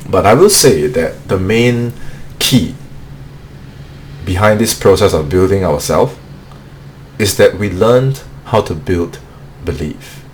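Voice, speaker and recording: A low-voiced man leads a motivational live-stream training for remote sales closers. He talks earnestly and repeats himself, dropping "okay" between points. A faint hum sits under the voice. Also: animated, takes it slowly, long pauses, rough recording. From Singapore. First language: not English. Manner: earnest, repetitive, peppers speech with "okay".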